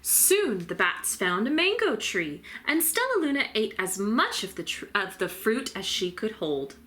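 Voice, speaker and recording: A woman reading a children's storybook aloud as a teacher, with an expressive, varied delivery. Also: mid-range voice, measured pace. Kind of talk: animated storybook read-aloud narration.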